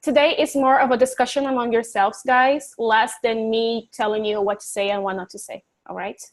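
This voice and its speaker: A woman's voice: on the high side, steady tone, moderate pace. A woman teaching an online English class, chatty and encouraging, laughing easily and joking about herself.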